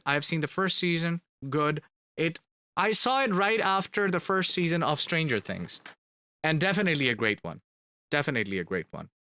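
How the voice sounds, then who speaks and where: Lively, bright-voiced man streaming. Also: mid-range voice, smooth, no echo, studio quality. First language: Finnish. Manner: chatty, repeats words for emphasis. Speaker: band member streaming to fans. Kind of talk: casual live stream chat reading questions.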